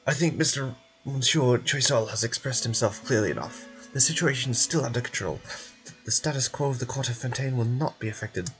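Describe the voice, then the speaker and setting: Casting: evasive male scientist-bureaucrat for a game man reading, mid-low everyday voice, officious and courteous, soft music behind. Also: natural voice, poor audio. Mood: composed. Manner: measured, polite, officious. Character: formal, evasive male research institute official in fantasy game.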